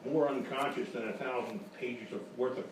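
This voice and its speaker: A man playing a wisecracking, hard-boiled private detective with deadpan quips in a live radio comedy sketch. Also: mid-range voice, brisk, close to an everyday voice. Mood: deadpan, wry. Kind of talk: radio sketch comedy, noir parody before a live audience. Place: small live venue with audience. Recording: echoey live room, distant mic, noisy.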